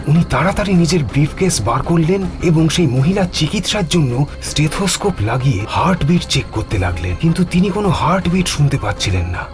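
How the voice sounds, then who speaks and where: Animated male horror-story narrator. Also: on the high side. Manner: dramatic, suspenseful. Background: music.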